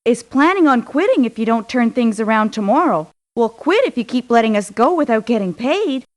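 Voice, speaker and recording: High-voiced, curt female mercenary. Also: big pitch swings, fairly quick. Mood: aggrieved. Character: proud, aggrieved female mercenary for hire. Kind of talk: scripted video game voice lines. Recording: lo-fi muffled game clips in places.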